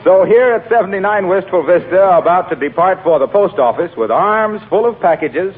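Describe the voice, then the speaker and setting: A man announcing a 1940s radio show, reading scripted sponsor pitches with polished, emphatic salesmanship. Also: lively intonation, normal speed, breathy edge. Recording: tinny 1940s radio broadcast.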